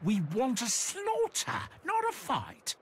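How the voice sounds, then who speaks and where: An actor playing a contemptuous, battle-hungry orc brawler in a video game, rousing and scornful. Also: male voice, very high voice, wildly swooping pitch, slow pace, background noise, heavily acted. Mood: scornful, eager. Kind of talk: video game character acting. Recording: music behind the voice.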